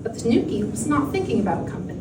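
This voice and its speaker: A woman's voice: a little high, sing-song, very fast. A woman reading a fairy tale aloud as a storyteller. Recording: echoey, very noisy room, distant mic.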